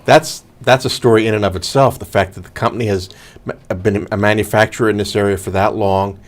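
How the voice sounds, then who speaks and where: Middle-aged male co-host, lower voice, agreeable conversational interviewer. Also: fairly even intonation, brisk. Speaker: co-host, public relations owner. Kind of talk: casual chat.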